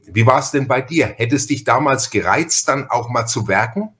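A man's voice: mid-range voice, lively intonation, normal speed, a bit bright. A middle-aged man, chatting casually and emphatically as a podcast host. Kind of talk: casual chat.

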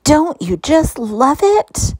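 A woman voicing a vain, excitable young female hamster in a children's storybook, gushing and dramatic. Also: sits high, slow, dry recording, pitched above the performer's own voice, exaggerated voice. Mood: proud, gushing.

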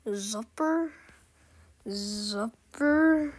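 Female gaming host, high voice, slow unhurried delivery, playful and whispery. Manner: playful, often drops into whispers and sing-song teasing. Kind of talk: casual gameplay commentary with a friend.

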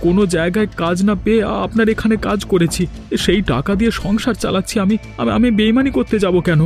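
Man playing a meek, high-pitched village labourer. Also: quick pace, faint music behind. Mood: shocked, pleading. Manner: deferential, protesting. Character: meek, falsely accused poor male labourer, pleading. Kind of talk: cartoon dialogue.

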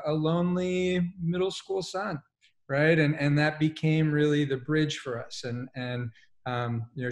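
A man in his forties from Colombia who moved to the United States as a child, telling his story thoughtfully as a panelist. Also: medium pitch. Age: 40s. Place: living room at home.

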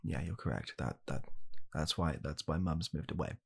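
A young man playing a blunt, callous father in an ASMR comedy roleplay, speaking softly and haltingly in a deadpan way. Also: very deep voice, gravelly, husky, exaggerated voice. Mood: awkward, grave. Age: young adult. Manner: soft-spoken, halting, deadpan. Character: blunt, callous father calmly blaming his child. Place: small home room, indoors.

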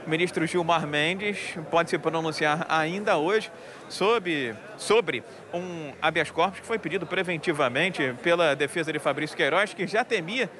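A man's voice: on the high side, measured pace, bright, forceful tone. A man in his thirties reporting live outdoors at a crowded event, speaking into a handheld mic through a face mask, chatty with many fillers. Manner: chatty, many fillers, addresses anchor by name. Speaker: field reporter. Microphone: handheld mic, wearing a face mask. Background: crowd murmur.

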